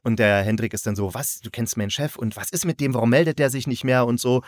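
A man's voice: medium pitch, fairly even intonation, quick pace, no room sound, clean studio sound. A man hosting a casual review chat, searching for words and repeating himself as he goes. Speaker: podcast host and audio drama reviewer.

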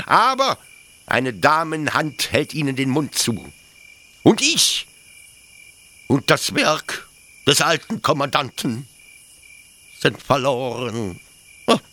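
Man acting a fanatical officer, slow and wildly swooping. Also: mid-range voice, bright, forceful tone, heavily acted. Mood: agitated, despairing. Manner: impassioned, halting, insistent, mimicking others in mockery. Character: fanatical, pleading male officer devoted to an execution machine. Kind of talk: theatrical German radio play acting.

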